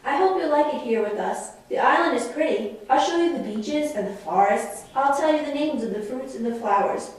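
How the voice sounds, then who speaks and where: A woman's voice: high voice, animated, a little fast, few pauses. A woman playing a chatty, eager, innocent young daughter in stage dialogue. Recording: very echoey room, far from mic.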